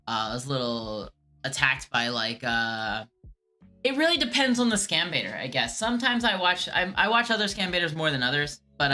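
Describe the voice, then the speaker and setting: A woman streamer who does scam baiting, answering viewer questions in a halting, earnest way with frequent restarts. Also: low voice, bright, forceful tone.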